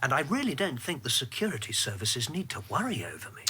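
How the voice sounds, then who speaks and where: An actor playing a guarded, reflective middle-aged government insider, measured and drily ironic, in a radio drama. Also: male voice, mid-range voice, very animated, bright, forceful tone, breathy edge, full character voice. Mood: firm, defensive. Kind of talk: radio drama narration and dialogue.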